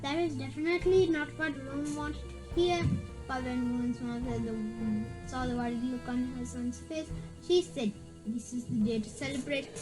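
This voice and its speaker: High voice, children's story read-aloud, halting with repeated words, music behind. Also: steady tone, rough recording. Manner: stumbles and repeats words while reading. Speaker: reader. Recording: background music.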